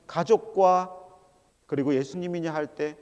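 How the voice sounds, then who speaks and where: A middle-aged man preaching a sermon as a pastor, animated and acting out quoted voices, calling out to the congregation. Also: fairly high pitch, unhurried, clear, resonant tone. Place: large church sanctuary. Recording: long hall reverb of a church.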